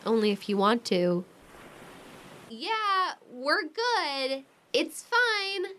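A young American woman playing a protective, quick-tempered, devoted big sister in a modern audio drama. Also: high-pitched, sing-song, a bit bright, slight background noise, exaggerated voice. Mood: brisk, protective.

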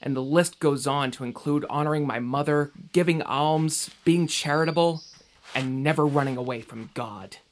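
A man's voice: fairly high pitch, normal speed, a little hiss or hum, close to an everyday voice. An actor playing a doubtful, earnest young man in an audio drama, speaking in a conversational, questioning way. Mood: somber, explaining.

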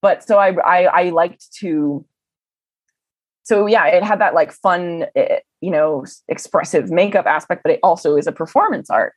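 A young woman telling stories in a casual interview, chatty and animated, with lots of "um" and "like". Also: lowish voice, moderate pace, slightly rough, dry recording. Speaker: interview guest. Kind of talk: casual conversational storytelling.

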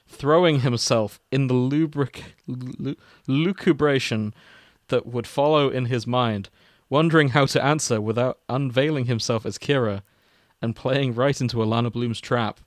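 A man's voice: medium pitch, a little slow, no echo, studio quality. A man narrating fan fiction for comedy with casual asides, in an amused manner. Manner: amused, often breaking into laughter.

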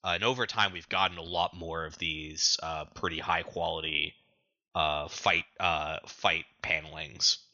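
Deep-voiced man, forceful and very bright. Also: fairly even intonation, unhurried, dry recording, highs cut off. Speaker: podcast co-host. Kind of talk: casual recap of manga chapters.

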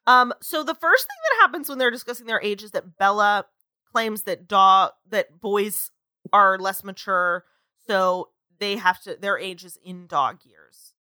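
A young woman in her twenties chatting casually on a podcast, with a bright, forceful voice. She leads the talk and tells stories with energy. The sound is dry and close. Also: mid-range voice, a little slow, no echo. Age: 20s. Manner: lively storyteller, leads the discussion. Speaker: podcast co-host.

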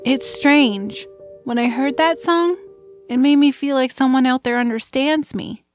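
A little troll girl talks in a high, childlike voice full of innocent curiosity. She is tender and wondering, in family audio drama style with music underneath. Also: unhurried. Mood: sad, tender. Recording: music behind the voice.

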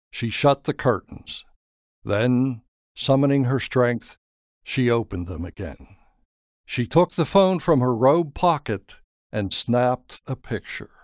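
A man narrating a scripted story in a measured, dramatic storytelling manner. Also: mid-range voice, no room sound, clean studio sound. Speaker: author and narrator.

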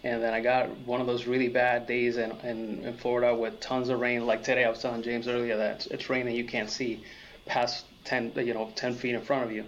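A man's voice: medium pitch, little pitch movement, fairly quick, slight background noise. A man from Puerto Rico telling personal stories in a casual, conversational way as an interview guest and car enthusiast. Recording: dull lo-fi audio.

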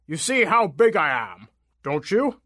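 A man voicing a huge, grumpy giant apple monster in a storybook tale, with a wet, grainy, roaring voice and a slow, scornful manner. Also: on the high side, sing-song, bright, forceful tone, no room sound, studio quality, exaggerated voice. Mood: indignant.

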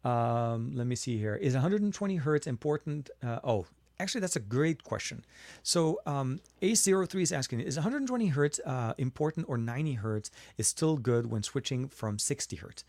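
A man's voice: medium pitch, animated, normal speed, darkish tone, no room sound. A man from Lebanon hosting a casual live tech stream, answering viewer questions in a chatty way with frequent fillers and restarts. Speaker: tech livestream host.

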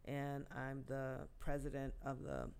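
A woman in her sixties, a guest poet, telling her life story in a podcast interview with many pauses and restarts. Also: rumbling low, completely flat delivery, a little mellow, smooth. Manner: halting, many fillers and restarts.

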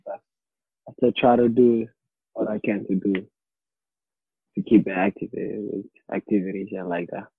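Young man, interviewee, halting and casual, husky, heard over a phone line. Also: fairly low pitch, dry recording. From Somalia. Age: late teens. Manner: halting, repeats words while searching for them. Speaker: interviewee, high school student. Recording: dry, tinny phone or call line.

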